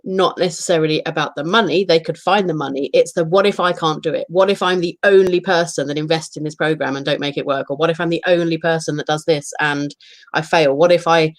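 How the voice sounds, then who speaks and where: A woman's voice: low-pitched, fairly even intonation, a little fast, no room sound. A woman in her thirties, co-hosting a casual chat and telling candid, self-deprecating stories. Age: 30s. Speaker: podcast co-host.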